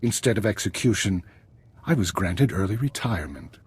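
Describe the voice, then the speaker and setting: An actor playing an older, retired spymaster in a video game, composed and dry, with measured formal phrasing. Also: male voice, fairly low pitch, expressive, slightly rough. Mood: wry, composed.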